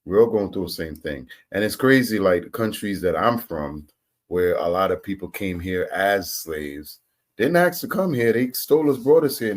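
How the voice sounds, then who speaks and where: A man hosting a reaction video, talking loosely and repeating himself. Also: fairly low pitch. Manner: loose, talkative, repeats words and uses slang. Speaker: host reacting to clips. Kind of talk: casual reaction commentary.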